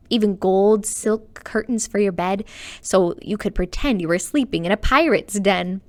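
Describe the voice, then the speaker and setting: Female children's librarian, expressive storybook read-aloud, animated and conversational with young listeners. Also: medium pitch. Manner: animated, chatty, addressing young listeners directly.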